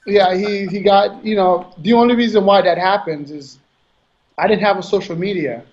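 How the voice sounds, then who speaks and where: Haitian-born guy in his 30s, soft hesitant answers, slightly high voice, dull muffled audio. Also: normal speed. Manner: soft-spoken, hesitant, repeats words. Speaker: guest bodybuilder. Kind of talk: casual interview answers.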